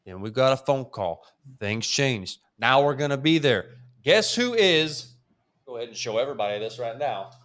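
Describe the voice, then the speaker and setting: A man's voice: mid-range voice, animated, moderate pace, fairly bright tone. Laid-back man co-hosting a show. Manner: laid-back, blunt, chuckles while talking. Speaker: podcast co-host. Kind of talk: casual chat.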